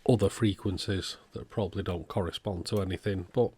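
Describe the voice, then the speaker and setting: Male, lowish voice, understated hands-on repairer talking through the work casually. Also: steady tone, fairly quick, darkish tone, slightly rough. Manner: matter-of-fact, thinking aloud, understated. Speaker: electronics repairer presenting own channel. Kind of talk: casual repair walkthrough commentary. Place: indoors at a workbench.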